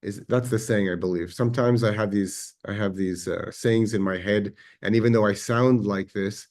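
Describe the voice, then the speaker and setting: A man with an Israeli accent giving a measured, reflective history lecture, pausing now and then with fillers. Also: lowish voice, fairly even intonation, a little mellow. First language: Hebrew.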